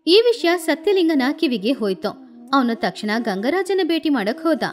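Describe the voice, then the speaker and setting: A woman narrating a scripted moral story with expressive, animated delivery. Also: high voice, normal speed.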